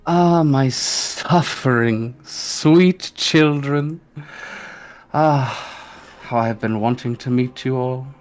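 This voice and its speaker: Slow, gloating dark entity, voiced by a game master. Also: mid-range voice, faint music behind, lightly acted. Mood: gloating, sinister. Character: menacing dark entity gloating over its suffering sweet children.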